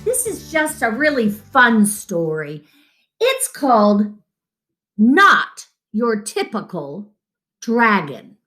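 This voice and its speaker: A middle-aged woman tells a children's story in a high, very animated voice. She reads slowly with dramatic pauses. The room gives a noticeable echo. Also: faint music behind. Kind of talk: expressive picture-book reading. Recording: roomy home echo.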